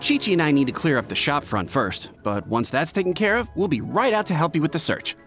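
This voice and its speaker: An actor playing a friendly, obliging young pharmacy assistant in a video game, polite and helpful. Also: male voice, fairly high pitch, sing-song, brisk, fairly bright tone, soft background music.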